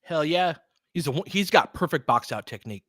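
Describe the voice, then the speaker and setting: A man's voice: fairly high pitch, expressive, moderate pace, a bit bright, no echo, studio quality. A man giving casual fan commentary and basketball analysis in an informal, conversational way, often checking for agreement.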